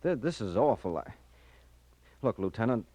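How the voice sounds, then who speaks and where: A worried, soft-hearted friend in a vintage radio crime drama. His low voice falters as he speaks, earnest and loyal. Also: big pitch swings, normal speed, loud music behind the voice. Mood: distressed. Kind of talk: 1940s-50s radio crime drama acting.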